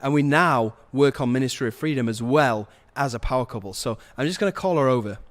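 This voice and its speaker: A man's voice: mid-range voice, quick pace. A man hosting testimonial calls in a casual, upbeat way, laughing often and saying his voice is going. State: losing his voice. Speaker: course host. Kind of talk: casual video call interviews.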